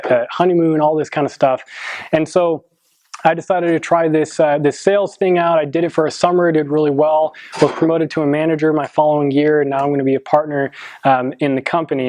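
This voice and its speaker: Young man, motivational speaker, earnest, fairly high voice, dry sound. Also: darkish tone, no echo. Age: 20s. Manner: earnest, many ums and restarts. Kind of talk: motivational talk to a high school team.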